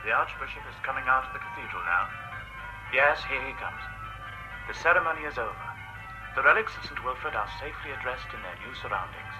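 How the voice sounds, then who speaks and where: An actor playing a formal television commentator covering solemn church pageantry, in a radio drama. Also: male voice, mid-range voice, expressive, quick pace, over music, natural voice, poor audio.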